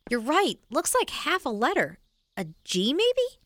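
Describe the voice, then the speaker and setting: A woman's voice: high-pitched, wildly swooping pitch, unhurried, a bit bright, no echo, heavily acted. A woman playing a practical, sceptical young boy in a family audio drama. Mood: worried, urgent.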